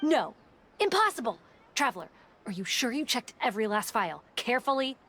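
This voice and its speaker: A woman's voice: on the high side, sing-song, brisk, fairly bright tone, slight background noise. A woman voicing a bossy, hot-tempered young female detective agency boss in a video game, scolding and accusatory. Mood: outraged.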